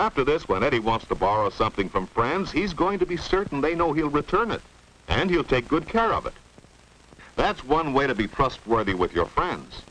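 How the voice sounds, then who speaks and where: A man's voice: mid-range voice, fairly bright tone. A man giving scripted 1950s educational film narration, didactic, often posing rhetorical questions. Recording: noisy old 1950s film soundtrack.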